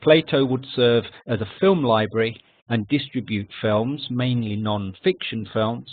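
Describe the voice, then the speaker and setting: Male academic presenter, medium pitch, formal scripted lecture read, thin phone-band sound. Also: measured pace. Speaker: editorial consultant giving a lecture. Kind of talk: scripted history lecture with slides. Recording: narrow phone-like online call audio.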